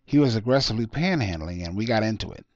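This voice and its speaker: A man's voice: fairly low pitch, animated, fast talker, no room sound, close to an everyday voice. A nervous family man from a short story, voiced by a man. He talks casually, keen to explain things away. The sound is dry and a little lo-fi. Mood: anxious relief. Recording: dull lo-fi close recording.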